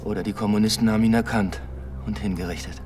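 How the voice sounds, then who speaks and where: A brooding police detective played by a man in a radio drama speaks in a low voice. He mutters terse deductions while music plays behind him. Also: steady tone, fast, darkish tone, natural voice. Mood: tense, pensive. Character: brooding male police detective at a murder scene. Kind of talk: German radio drama acting.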